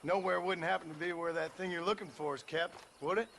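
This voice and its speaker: An actor playing a tough, impatient western gunslinger in a video game, with an American accent and a dry, blunt, sarcastic manner. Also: male voice, high voice, steady tone, brisk, creaky, fairly bright tone, slight background noise. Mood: sardonic. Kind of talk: video game cutscene acting.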